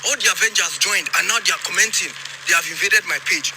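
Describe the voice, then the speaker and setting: A man, a social media creator, talking to camera in an animated, teasing, exclaiming way. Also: high-pitched, quick pace, creaky, noisy background. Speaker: TikTok creator. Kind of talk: casual talking-to-camera video.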